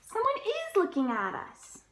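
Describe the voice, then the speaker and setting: A woman's voice: extremely high pitch, wildly swooping pitch, a little slow, background noise, pitched above the performer's own voice, full character voice. A woman voicing a cheerful, playful little storybook pig with emphatic delivery. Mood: excited discovery. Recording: roomy echo of a bedroom.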